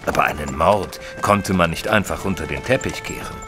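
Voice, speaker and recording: An actor playing a detective's earnest, puzzled companion, narrating in the first person in a German audio drama in a measured, literary manner. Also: male voice, deep voice, music in the background, natural voice. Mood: puzzled, reflective. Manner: measured, formal, literary storytelling.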